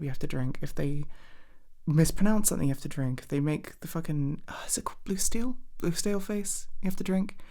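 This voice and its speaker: Low-voiced young woman rambling softly. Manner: hesitant, rambling, self-interrupting, casually sweary. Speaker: ASMR roleplay performer playing a girlfriend. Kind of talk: casual rambling ASMR chat to a partner.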